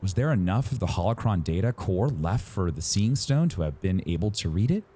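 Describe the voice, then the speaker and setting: A man reading a story aloud as a dramatic narrator, with suspenseful, deliberate phrasing and pauses. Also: low-pitched, big pitch swings, dark tone, breathy edge. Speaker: narrator reading a novel excerpt. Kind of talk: dramatic audiobook-style narration.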